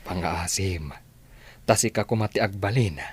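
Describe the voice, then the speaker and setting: An actor playing a soft-spoken, earnest father in a radio drama. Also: sits low, normal speed, breathy edge.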